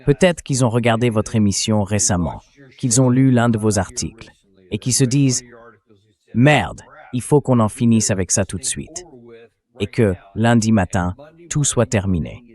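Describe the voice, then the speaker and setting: Low-pitched synthetic male interview host. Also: normal speed, darkish tone. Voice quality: synthetic AI dubbing voice. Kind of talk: political interview, dubbed translation.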